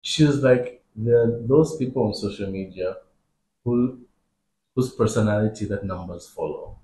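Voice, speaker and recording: A man's voice: on the low side, moderate pace, dark tone. A man hosting a podcast, chatting casually and reflectively, with short backchannel replies. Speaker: podcast host. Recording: roomy echo.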